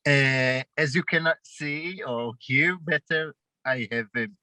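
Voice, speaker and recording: A man with a heavy Israeli accent, a documentary director answering questions in a festival Q&A and pausing often to find his words. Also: on the high side, sharp tone, no echo. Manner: halting, searching for words. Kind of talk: festival Q&A interview.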